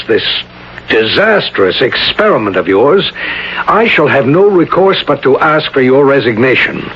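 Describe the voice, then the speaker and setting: An actor playing a stern, paternal college head in a vintage radio drama, speaking formally, insistently and with deliberate emphasis. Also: male voice, mid-range voice, breathy edge. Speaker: actor in a radio mystery play. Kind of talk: vintage theatrical radio acting. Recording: dull vintage radio broadcast, faint noise.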